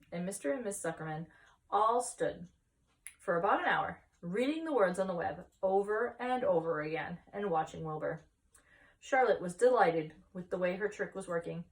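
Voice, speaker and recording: Woman reading a storybook, slightly distant. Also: medium pitch. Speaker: story-time reader. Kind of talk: scripted children's storybook narration. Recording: voice distant from the mic.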